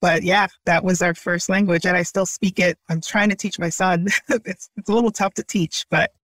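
A woman's voice: on the low side, steady tone, normal speed, a bit bright, no room sound. A woman from Brooklyn whose first language is Haitian Creole, speaking earnestly and reflectively as an interview guest, often laughing lightly. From Brooklyn, New York. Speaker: startup founder, guest. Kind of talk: conversational interview.

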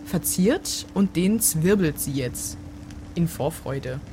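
A man's voice: a little high, expressive, slow pace, music in the background. A man improvising descriptions of a character in a role-play story, on a noisy recording with hum.

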